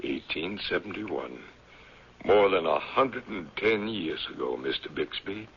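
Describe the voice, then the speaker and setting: An actor in an old-time radio drama playing a stern, commanding old captain who speaks gravely and deliberately. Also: male voice, sits low, animated, a little hiss or hum. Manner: grave, deliberate, ominous, scripture-quoting. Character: stern, commanding old patriarch, captain of a secluded mountain community. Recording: dull vintage radio broadcast.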